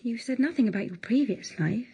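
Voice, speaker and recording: An actress playing an anxious young governess, haunted and obsessed, with an intense, hushed delivery in a gothic audio drama. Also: female voice, fairly high pitch, expressive, a little fast, husky, full character voice. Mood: probing, uneasy.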